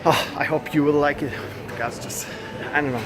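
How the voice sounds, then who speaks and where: A young man in his twenties with a Turkish accent, filming a casual vlog on his phone as he walks through a supermarket, talking haltingly with many fillers and self-corrections. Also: a little high, steady tone, a loud crowd. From Turkey. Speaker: vlogger. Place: large supermarket indoors. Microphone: handheld phone camera. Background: store ambience.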